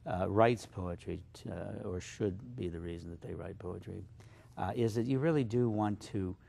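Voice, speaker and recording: A man whose first language is Slovak, a poet guest giving thoughtful, halting interview answers with many fillers. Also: deep voice, vocal fry, mellow.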